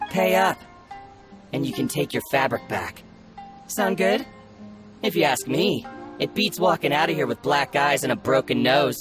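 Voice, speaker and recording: An actor playing a cocky, bullying bandit ronin in a video game, mocking and taunting. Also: male voice, fairly high pitch, normal speed, pauses often, music in the background, natural voice. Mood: smug, menacing.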